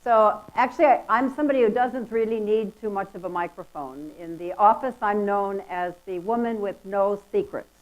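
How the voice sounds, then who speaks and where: A woman with a loud voice giving a lively, chatty conference talk. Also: medium pitch, normal speed, few pauses, poor audio. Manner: lively, chatty, self-deprecating. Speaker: conference speaker. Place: conference hall.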